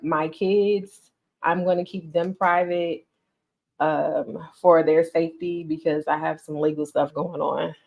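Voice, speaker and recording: A woman, chatting casually and animatedly as a podcast host on a livestream. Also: fairly low pitch. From North Carolina, US. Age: 30s. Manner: chatty, animated, addresses listeners as y'all.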